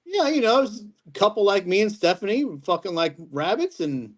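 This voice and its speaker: A man calling in to a radio show who talks gruffly, swears constantly and drawls in a laid-back way. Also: high-pitched, very animated, normal speed. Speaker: caller. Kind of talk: casual call-in radio chat.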